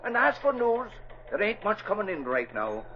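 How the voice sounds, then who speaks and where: A man playing an excitable, simple-minded Irish newsman, talking in folksy slang with an Irish accent in a muffled 1940s radio drama. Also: high voice, moderate pace. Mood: easygoing.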